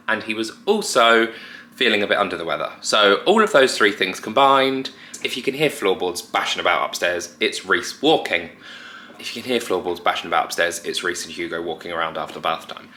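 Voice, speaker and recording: A man with a croaky, hoarse voice, chatting casually to his vlog camera with joking asides. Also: on the low side, bright, forceful tone. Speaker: family vlogger. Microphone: handheld vlog camera.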